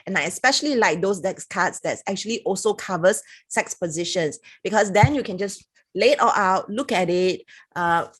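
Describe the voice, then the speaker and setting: A woman's voice: mid-range voice, lively intonation, moderate pace, a bit bright, slightly rough. A woman with a Singaporean accent giving a chatty, casual review to camera, reading passages aloud with little laughs. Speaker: product reviewer.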